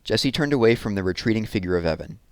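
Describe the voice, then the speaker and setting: A man reading a children's book aloud in an expressive storytelling style, leaning on key words for drama. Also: lowish voice, fast, smooth, dry recording, clean studio sound.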